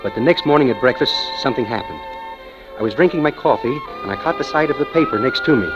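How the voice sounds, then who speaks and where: A man's voice: mid-range voice, expressive, fast, close to an everyday voice. An actor with an American accent playing a smitten, obsessive bank clerk who narrates his own story in a 1940s radio drama. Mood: brooding, confiding. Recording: muffled old radio recording with music.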